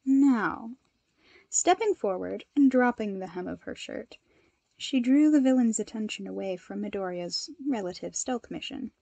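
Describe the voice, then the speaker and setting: A woman reading fan fiction aloud as an expressive story narrator. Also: mid-range voice, normal speed, creaky, dry recording, highs cut off. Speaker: podfic reader.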